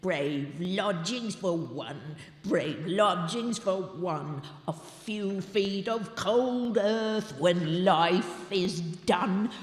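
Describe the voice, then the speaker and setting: A woman voicing a surly, grim old male gravedigger in a storybook reading, chanting in a slow, gloating sing-song. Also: fairly low pitch, voice pushed down. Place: large town hall stage. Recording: roomy hall reverb.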